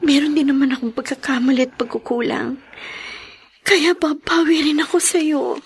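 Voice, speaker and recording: A woman's voice: high voice, fairly even intonation, moderate pace. A woman playing a forgiving, devout wife in a Filipino radio drama. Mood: consoling, earnest.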